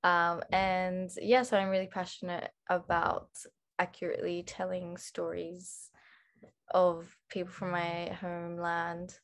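A woman, introducing herself on an online panel in an unhurried way, with many pauses, over a video call from a room at home. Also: lowish voice, completely flat delivery. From Australia. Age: 20s. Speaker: panel speaker, writer and actress.

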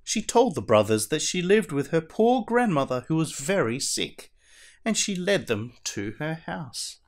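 A man acts out a masked superhero at storytime, reading a picture book to children. The voice sits slightly high and swings widely in pitch. The delivery is theatrical and deliberate, drawing out the ends of phrases. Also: big pitch swings. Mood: animated storytelling. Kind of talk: animated picture-book read-aloud.

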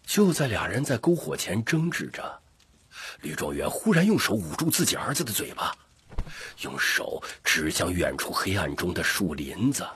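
A man with a gravelly, husky voice narrating an audiobook story expressively. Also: low voice, sing-song, normal speed, a bit bright.

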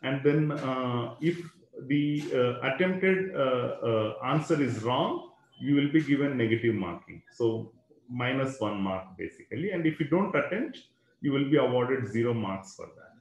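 A man's voice: medium pitch, expressive, unhurried, roomy sound, low-quality recording. A man, a school educator explaining exams in an online webinar, talking into a computer microphone with many fillers and a habitual "right?".

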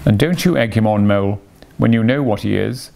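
Storybook rat, male reader: sensible, earnest, managing, low creaky register. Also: fairly quick, a little mellow. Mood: chiding. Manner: earnest, reasoning. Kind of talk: animal storybook character voice.

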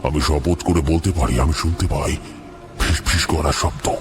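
A man voicing a terrified, haunted man recounting nightmares in a horror story, halting and repeating words in dread. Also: noisy background. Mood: haunted, fearful. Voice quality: very deep. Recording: music under the voice.

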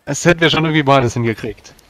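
A man's voice: on the high side, quick pace, a bit bright, lightly acted. A man playing an impulsive, embittered adventurer in a tabletop game, protesting in formal, old-fashioned address. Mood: agitated, indignant. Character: impulsive, embittered male adventurer haunted by dark voices. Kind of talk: tabletop roleplay in character.

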